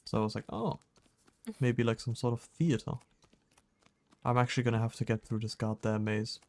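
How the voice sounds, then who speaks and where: A male game streamer with an average-pitched voice. He chats casually, thinks aloud, reads bits of text out and pokes fun at himself. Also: flat delivery, normal speed, darkish tone. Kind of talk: casual gameplay chat, reading clues aloud.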